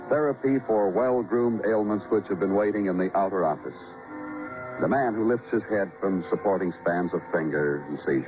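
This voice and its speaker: Low-voiced, brooding radio detective. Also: male voice. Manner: clipped questions, brooding lyrical delivery. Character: terse, world-weary male police detective, moody and poetic. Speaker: lead actor and narrator of a radio detective drama. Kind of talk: old-time radio noir acting, poetic second-person narration. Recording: muffled old radio broadcast with music.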